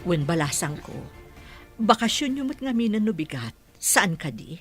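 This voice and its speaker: A woman's voice: lowish voice, very animated, moderate pace. Anxious Ilocano village mother over music, woman acting. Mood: tender, hopeful. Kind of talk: Ilocano radio drama acting.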